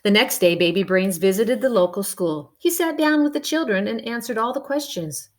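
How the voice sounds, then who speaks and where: A woman reading a children's picture book aloud, expressive and adding playful spoken asides. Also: mid-range voice, normal speed. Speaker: storybook reader.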